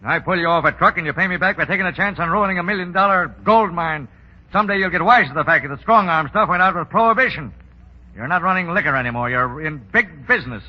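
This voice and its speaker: A man's voice: fairly high pitch, lively intonation, quick pace. A man acting a shrewd American racketeer boss in a 1930s radio drama, with slangy, sardonic, commanding talk. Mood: angry, scolding. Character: smooth, shrewd male racketeering crime boss. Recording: dull 1930s radio broadcast.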